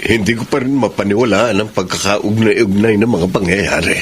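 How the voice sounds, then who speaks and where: A man's voice: fairly low pitch, moderate pace, background noise, lightly acted. An actor playing a poor, humble husband and father in a radio drama, polite and earnest in manner. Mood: reflective. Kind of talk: Filipino radio drama acting.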